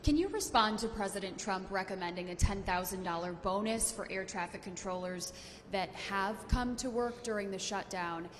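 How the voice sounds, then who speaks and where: A woman's voice: medium pitch, moderate pace. Woman reporter in an echoing hall. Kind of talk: press conference question. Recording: reverberant hall, faint background noise.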